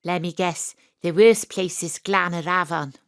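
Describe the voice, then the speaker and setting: A woman's voice: lowish voice, fairly even intonation, unhurried, vocal fry, smooth, no echo, studio quality. An actress with a Welsh accent playing a wry, sporty amateur detective who narrates her own story with self-mocking humour. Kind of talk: first-person radio drama narration. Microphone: home studio mic.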